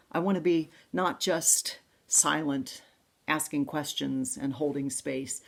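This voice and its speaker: A woman pastor giving a reflective sermon, reading poetry in a slow, intimate way. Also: sits low, creaky, a little mellow. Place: church service, streamed online.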